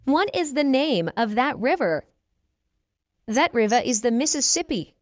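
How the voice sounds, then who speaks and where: Slow, high, lively woman teacher. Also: dry recording. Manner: reads short phrases slowly and clearly, repeating each exchange. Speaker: English teacher. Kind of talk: reading practice dialogues for learners.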